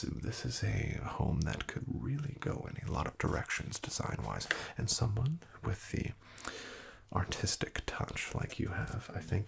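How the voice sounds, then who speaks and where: Male ASMR roleplayer as real estate agent: low, very gravelly, breathy half-whisper, friendly and gently attentive. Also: a little hiss or hum.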